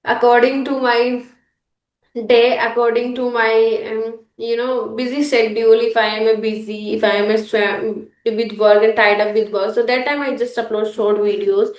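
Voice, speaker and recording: A woman's voice: fairly high pitch, level tone, normal speed. A woman from India with an Indian English accent, speaking in an English practice conversation, hesitant with many fillers and restarts. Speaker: English learner guest. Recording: echoey room.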